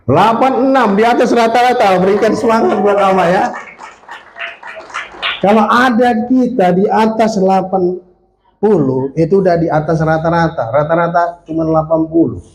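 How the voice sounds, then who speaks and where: A man in his late forties with an Indonesian accent preaches to a congregation, pitched a little high for a man. He keeps throwing questions at the listeners and calling for amens. His voice rings through an echoey church hall. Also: normal speed. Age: 40s. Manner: engages the congregation with questions and amen calls. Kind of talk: sermon.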